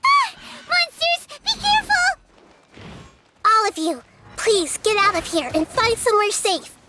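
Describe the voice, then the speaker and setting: A woman voicing a gentle, wise young girl deity in an English game dub, explaining things in an earnest, measured way. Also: extremely high pitch, sing-song, very noisy, heavily acted. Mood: alarmed.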